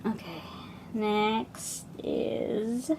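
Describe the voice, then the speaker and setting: Unhurried Filipino woman, chatty stitch-along. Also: medium pitch, gravelly, a little mellow, a little hiss or hum, poor audio. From Philippines. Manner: chatty, thinking aloud while stitching, mutters to herself. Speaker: cross-stitch vlogger. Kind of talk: casual stitch-along chat.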